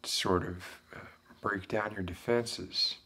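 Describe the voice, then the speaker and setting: Male actor for a world-weary Southern American ex-detective: low, unhurried, halting, intellectual ASMR monologue. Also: fairly even intonation, pushed and bright, slightly rough, heavily acted. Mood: brooding, philosophical. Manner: deliberate, halting, musing, intellectual. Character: world-weary, brooding philosophical Southern former detective being questioned. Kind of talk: slow ASMR roleplay monologue.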